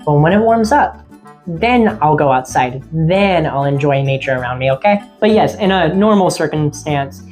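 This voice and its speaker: A woman casually vlogging about seasonal witchcraft in a friendly, rambling way, her voice getting a little raspy. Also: sits low, lively intonation, normal speed, darkish tone, faint music behind. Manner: rambling, friendly, laughs often. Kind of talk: casual chatty vlog.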